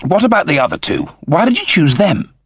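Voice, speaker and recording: A man's voice: a little high, huge pitch swings, a little fast, husky, dry recording, studio quality, voice pushed up, exaggerated voice. A man voicing a fussy, earnest service android with a precise, formal, explanatory manner. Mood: excited.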